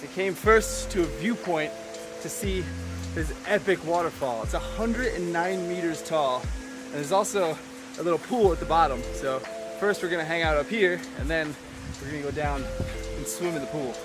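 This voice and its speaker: A man's voice: fairly high pitch, normal speed, over music. A young man from the United States narrating a casual travel vlog outdoors, upbeat and chatty. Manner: upbeat, chatty, exclaims often. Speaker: travel vlogger.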